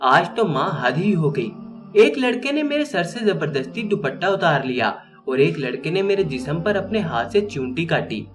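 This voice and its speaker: A frightened young woman student, as a male storyteller puts her on, sobs through her words. The voice climbs high and swings widely as her pleading grows. Soft music plays behind her. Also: big pitch swings, moderate pace. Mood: tearful. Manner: sobbing, pleading questions.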